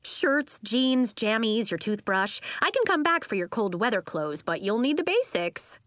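A brash, vibrant aunt from a storybook, acted out by a woman narrator, blunt and outspoken. Her pitch is high and leaps about in breezy exclamations, recorded close and dry. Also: very animated, unhurried, fairly bright tone, no room sound. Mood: brisk, warm.

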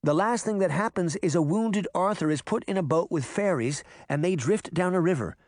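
A man's voice: high voice, lively intonation, moderate pace, darkish tone, no room sound, studio quality, pitched above the performer's own voice. A man voicing an earnest, stubborn young girl who speaks in an insistent way.

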